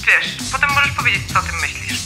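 A woman's voice: on the high side, animated, fast, close to an everyday voice. A young woman playing an eager, coaxing teenage schoolgirl in a radio play. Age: young adult. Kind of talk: casual dialogue in a radio play. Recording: echoey, music behind.

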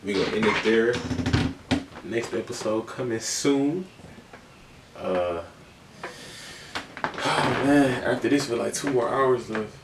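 A man in his twenties hosting a reaction video, recapping in a relaxed, meandering way with many fillers, recorded in a small indoor room with a webcam. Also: mid-range voice, takes it slowly, pauses often, echoey room, far from the mic, background noise. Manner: relaxed, meandering, lots of fillers and "you know". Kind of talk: casual rambling recap.

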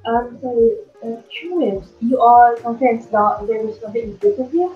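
A woman's voice: fairly high pitch, expressive, normal speed, lightly acted. A young woman playing a probing, dismissive male county attorney in an amateur student drama, with hesitant delivery. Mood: questioning. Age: young adult. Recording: muffled band-limited recording, music behind.

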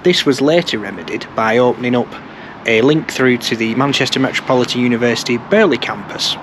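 A man's voice: mid-range voice, fairly quick, fairly bright tone. A man in his thirties giving informative, wry commentary to camera as a vlog presenter. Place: outdoors beside busy urban roads. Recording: outdoor street noise from passing cars.

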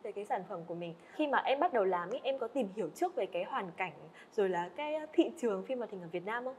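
A woman's voice: medium pitch, animated, fast talker, a little hiss or hum. A woman hosting a podcast interview, asking questions in a friendly way. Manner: friendly, frequent listening "ừ" and laughs. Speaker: podcast host. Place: indoor studio set.

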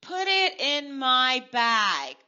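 A woman playing Maddie, an eight-year-old girl entrepreneur in an audio drama, speaking in a brisk, bossy, playful way. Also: high-pitched, very animated, pushed and bright, muffled recording, exaggerated voice. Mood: commanding. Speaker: lead character.

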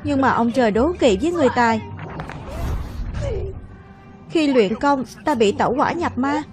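A woman's voice: sits high, normal speed, natural voice. A woman voicing brash, bickering young heroes in a fairy-tale cartoon dub for children. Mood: boastful. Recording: music behind the voice.

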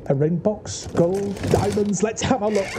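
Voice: medium pitch, measured pace, noisy background, lightly acted. An actor playing a grumpy, miserly older uncle in an audio drama, curt and grumbling. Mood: greedy excitement.

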